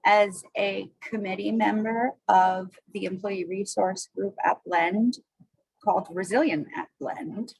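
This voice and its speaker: A woman's voice: mid-range voice, fairly even intonation, unhurried, gravelly, a little mellow, slightly rough, low-quality recording. A woman moderating an online panel, making upbeat, scripted-sounding introductions over a video call.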